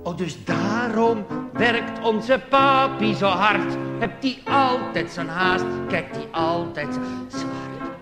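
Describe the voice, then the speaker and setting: Man singing as a high-pitched, naive, curious child. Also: lively intonation, slow pace, hardly any pauses, reverberant, long reverb tail, loud music behind the voice. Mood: curious, puzzled. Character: naive, curious child questioning mother about papa. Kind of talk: theatrical cabaret singing.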